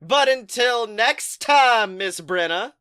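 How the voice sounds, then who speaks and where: A man hosting a comedic podcast with casual, joking, profane banter in an animated manner. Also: extremely high pitch, takes it slowly. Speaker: podcast host.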